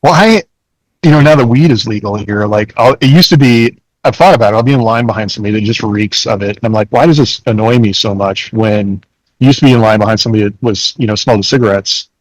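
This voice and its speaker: A man's voice: lowish voice, fast, no room sound, low-quality recording. A man hosting a podcast in casual, slangy chat, with a laid-back and chuckly manner. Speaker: podcast host.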